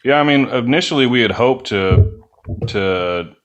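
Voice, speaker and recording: A man's voice: medium pitch, animated, unhurried. A man chatting casually as an interview guest, rambling and hedging as he talks. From United States. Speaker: band member, podcast guest.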